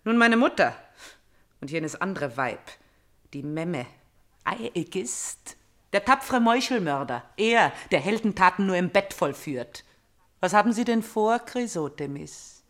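An actress playing a bitter, hate-consumed princess in a classical radio tragedy, scornful and declamatory, moving between hushed menace and loud cries. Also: female voice, on the low side, animated, a little slow. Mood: scornful, impatient. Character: bitter, hate-consumed princess obsessed with avenging her father. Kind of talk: classical German stage-tragedy radio acting.

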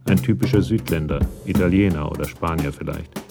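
Very deep, gravelly male narrator over music. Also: a little fast. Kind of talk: scripted narration of a radio crime drama. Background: music with drums.

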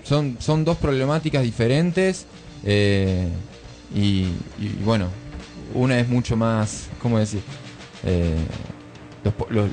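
An Argentine man hosting a casual radio discussion, reflective and often pausing to search for words. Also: mid-range voice, darkish tone, soft background music. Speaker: radio host. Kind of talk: casual chat, political commentary.

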